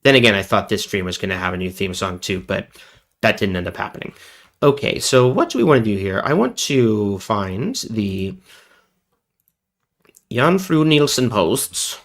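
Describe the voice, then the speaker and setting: Low-voiced sick male streamer. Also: very animated, normal speed, fairly bright tone. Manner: rambling, joking, self-deprecating. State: sick with a cold. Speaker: livestream host. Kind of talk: casual livestream chat.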